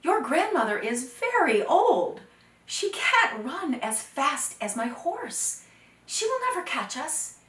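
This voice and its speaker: A woman voicing a confident, boastful young man in a told folktale, loud and emphatic. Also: high voice, very animated, slow pace, pitched above the performer's own voice, heavily acted. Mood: boastful, amused. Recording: roomy echo, distant microphone.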